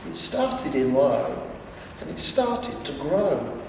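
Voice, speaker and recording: A man's voice: fairly high pitch, sing-song, takes it slowly. A man reading a rhymed storybook aloud in a dramatic, theatrical way. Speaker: story reader. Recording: roomy, distant, faintly noisy recording.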